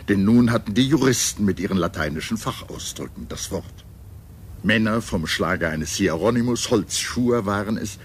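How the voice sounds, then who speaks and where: Low-voiced male documentary narrator. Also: a little hiss or hum. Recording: music playing behind the voice. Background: background music.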